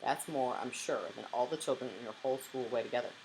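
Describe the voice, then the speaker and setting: Young female tour guide read by a woman narrator: polite, deliberate, informative, lower register, storybook style. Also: darkish tone, slight background noise, rough recording. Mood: instructive. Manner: clear, deliberate, instructive.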